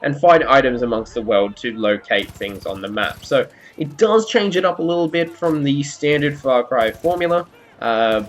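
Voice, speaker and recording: A man giving a conversational, explanatory video game review as commentary over gameplay. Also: mid-range voice.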